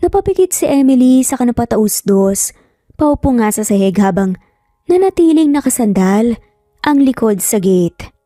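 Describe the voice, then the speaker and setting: Filipino lady narrating a dramatic love story, soft mellow voice, dry recording w some music under it. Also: on the high side, lively intonation, moderate pace, no echo.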